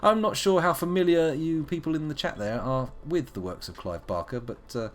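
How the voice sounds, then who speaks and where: A man's voice: a little high, normal speed. A young man streaming a video game live, playful and joking, with muttered asides.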